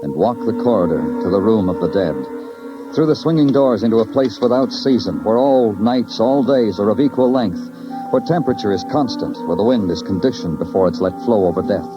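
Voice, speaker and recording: An actor playing a moody, world-weary New York detective, narrating a hard-boiled radio drama in clipped, poetic, brooding phrases. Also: male voice, on the low side, expressive, fairly quick, close to an everyday voice. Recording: dull old radio recording, loud music. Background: music.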